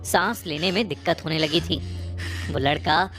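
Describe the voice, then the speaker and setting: A woman narrating a scripted horror story in a measured, suspenseful way, phrase by phrase. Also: sits low, fairly bright tone. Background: prominent music bed.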